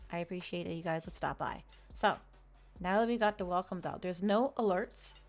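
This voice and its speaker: A woman hosting an ASMR livestream, chatting casually and reading aloud in a soothing way. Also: fairly low pitch. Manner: soft-spoken, soothing when reading. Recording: clear background noise, fireplace crackle ambience. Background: fireplace crackles and quiet ambient music.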